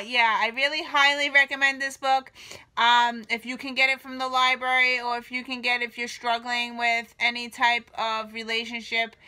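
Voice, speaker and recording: A woman's voice: fairly high pitch, dry recording. A woman reviewing books in a casual vlog, slightly nasal from a cold, with many fillers and an easygoing, rambling delivery. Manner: relaxed, filler-heavy, unhurried. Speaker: book reviewer.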